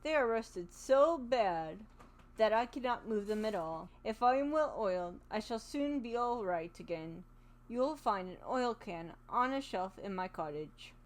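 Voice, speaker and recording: A woman's voice: fairly high pitch, animated, normal speed, a little mellow, close to an everyday voice. Woman voicing a sad, polite tin woodman. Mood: plaintive. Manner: mournful, courteous. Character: polite grateful male tin woodman, rusted stiff. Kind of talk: storybook reading.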